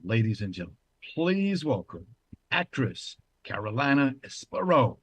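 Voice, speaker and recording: A man's voice: mid-range voice, sing-song, normal speed, slightly rough, no room sound. Courteous male talk show host. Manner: courteous and formal. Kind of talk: formal guest introduction and interview.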